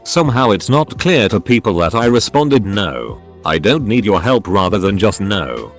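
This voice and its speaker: A man narrating Reddit posts aloud, reading the stories and their edit notes in an even, uninvolved way. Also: fairly low pitch, normal speed, faint music behind.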